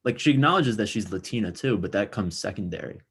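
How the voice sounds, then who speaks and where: A young man with a very low voice talks through ideas on a casual podcast. He circles and restarts, full of "like" and "you know.". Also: lively intonation, quick pace, slightly rough. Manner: thinks aloud with many likes and restarts. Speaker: podcast co-host. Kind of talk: casual discussion.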